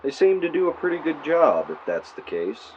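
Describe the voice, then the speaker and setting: A man's voice: a little high, very animated, moderate pace, darkish tone. An actor playing a male true-crime podcaster, telling a spooky story theatrically with wry asides into a clip-on mic. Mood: ominous, dramatic. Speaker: podcast host character. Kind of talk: audio drama, true-crime narration recorded on tape. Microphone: mic clipped to shirt. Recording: muffled noisy tape, clip-on mic in car.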